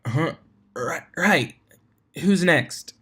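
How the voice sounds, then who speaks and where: A man's voice: a little high, lively intonation, drawn-out words, pushed and bright, breathy edge, pitched above the performer's own voice, heavily acted. A man voicing a drunk, spaced-out female unicorn DJ announcer in a storybook reading. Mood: dazed, announcing. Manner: dazed, slack.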